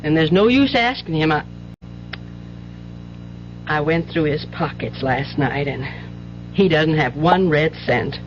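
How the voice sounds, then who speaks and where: A woman's voice: sits low, expressive, takes it slowly, pauses often. An actress playing a weary, hard-working, plain-spoken mother with a folksy manner in old-time radio drama. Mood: weary, bitter. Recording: dull, noisy old radio recording.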